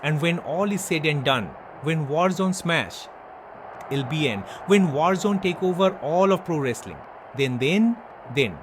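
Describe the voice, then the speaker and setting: A man's voice: animated, unhurried, noisy background. A man playing a boastful, crude male wrestler with a Bangladeshi English accent, speaking broken English in a taunting wrestling promo. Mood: scheming. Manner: broken English, taunting, swings from yelling to low purring. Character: boastful male wrestler, self-proclaimed king, brash and crude.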